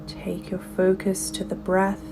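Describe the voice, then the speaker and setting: A woman leading a guided meditation as a yoga teacher, soothing and unhurried, her soft voice often falling away toward a whisper. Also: medium pitch, completely flat delivery, background noise. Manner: unhurried instruction with gentle pauses.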